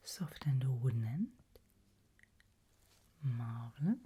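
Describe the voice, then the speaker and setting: A woman whispers very breathily and unhurriedly, as if conducting a medical exam for ASMR. Her tone is low and soft, polite and calmly unsettling, with slow, careful instructions. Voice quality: breathy, mostly unvoiced whisper. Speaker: ASMR performer playing a medical examiner.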